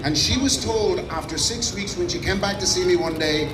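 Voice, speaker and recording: An Irish man giving an impassioned, rousing speech at an outdoor street protest, shouting at times. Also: sits high, fairly quick. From Ireland. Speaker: rally speaker. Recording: roomy and distant over loud crowd noise. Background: large crowd.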